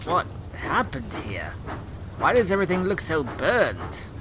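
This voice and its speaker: A man's voice: a little high, wildly swooping pitch, measured pace, noisy background. A man playing a good-natured, cautious steam engine crewman in a children's audio drama, speaking in a reassuring, chatty way. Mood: uneasy.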